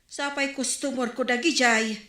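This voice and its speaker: A woman's voice: high-pitched, steady tone, moderate pace, fairly bright tone, roomy sound. A woman acting a talkative neighborhood store owner who gossips about neighbors, in a radio drama. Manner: chatty, gossiping.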